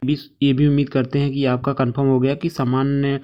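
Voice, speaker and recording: A man's voice: mid-range voice, level tone, fairly quick. A man giving an informal exam-prep lesson, going through lists, mixing in English terms and often adding short check-in phrases. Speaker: online exam-prep teacher. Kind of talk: informal instructional lecture, reading through lists. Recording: dull, muffled lo-fi voice track.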